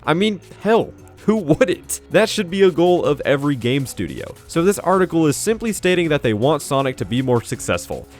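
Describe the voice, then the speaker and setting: Animated man giving an opinion video essay. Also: on the high side, normal speed, hardly any pauses, soft background music.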